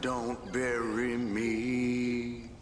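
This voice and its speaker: A man's voice: mid-range voice, completely flat delivery, very slow, over music. A man singing a mournful old cowboy folk ballad. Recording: noisy background ambience.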